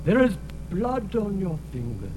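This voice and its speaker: A man's voice: sits high, huge pitch swings, unhurried, background noise, full character voice. A man playing an ancient, tormented winged alien in a fantasy audio drama, speaking in grandiose, archaic laments. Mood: solemn, knowing. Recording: music laid under the voice.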